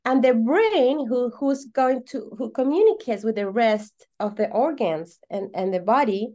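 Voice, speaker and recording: A woman from Venezuela with a Venezuelan Spanish accent explains things as an interview guest, repeating words for emphasis in halting English. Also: fairly high pitch, expressive, mellow. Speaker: podcast guest, holistic coach.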